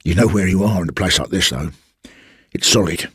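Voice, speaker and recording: A man voicing a shabby, middle-aged former gentleman's servant in a story reading, talking in an insistent, respectful, confiding manner. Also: sits low, brisk, husky, no room sound, clean studio sound, heavily acted. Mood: insistent, knowing. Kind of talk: dramatised literary reading.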